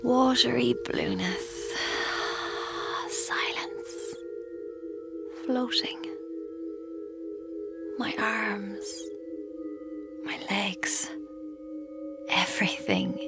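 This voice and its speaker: An actress with an Irish accent playing a scheming, guilt-haunted young woman writer in a radio drama, with a breathy, creaky voice. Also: mid-range voice, heavily acted. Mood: hushed, dread. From Ireland. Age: young adult. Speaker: lead actor and narrator in a radio drama. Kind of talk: intimate first-person radio drama narration.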